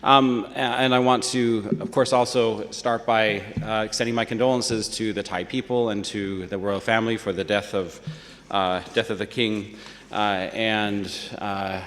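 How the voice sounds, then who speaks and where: A man, a professor on a panel, giving polite opening remarks with many filler pauses. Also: on the low side, steady tone, fairly bright tone, in a large hall. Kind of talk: conference talk. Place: large conference hall.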